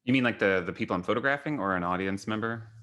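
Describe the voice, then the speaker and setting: A man's voice: on the low side, quick pace, fairly bright tone. An American man from Kentucky speaking informally on a video call as an artist, in a rambling, anecdotal way that often quotes people. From Kentucky, United States. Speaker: photographer and artist giving a gallery talk. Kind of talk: informal online talk, answering questions.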